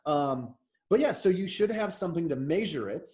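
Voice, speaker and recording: A man's voice: on the high side, animated, normal speed, a little mellow. An American man in his thirties co-hosting a podcast, talking politics in an opinionated, rambling way with frequent restarts. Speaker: podcast co-host. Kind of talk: casual political chat.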